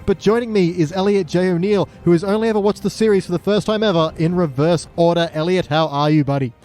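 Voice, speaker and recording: Higher-voiced man hosting a casual podcast. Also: fast, darkish tone. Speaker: podcast host. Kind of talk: casual chat.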